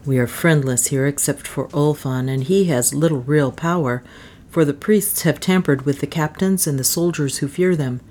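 A woman reader takes the part of a steadfast man in an old adventure tale. The voice is low and grave, firm and coolly gloomy, holding steady under threat. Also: moderate pace. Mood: gloomy, resolute. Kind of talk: audiobook character acting.

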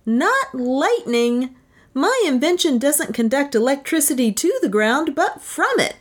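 Woman voicing an eccentric high-pitched boastful male inventor. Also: sing-song, normal speed. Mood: insistent. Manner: grandiloquent, mangles long words.

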